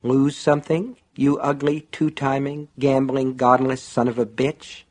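An angry hulking phys ed coach played by a male narrator in an audiobook. He speaks softly and silkily, holding his menace just under the surface. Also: medium pitch, sing-song, normal speed, slightly rough. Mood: menacing. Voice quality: soft, silky.

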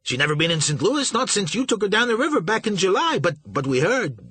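A nervous young shipping clerk stammers and fawns in a pitched-up, jumpy voice, as a male reader plays him. His speech trips over itself as it climbs and falls. The reading is crisp-edged and dry. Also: sing-song, fast talker, a bit bright, no room sound, heavily acted. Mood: flustered. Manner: stammering, fawning.

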